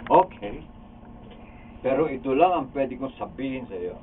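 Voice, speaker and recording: A man's voice: mid-range voice, animated, a little slow. A man with a Filipino accent casually coaching actors as a drama director. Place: radio recording studio. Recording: distant muffled phone-like audio with noise.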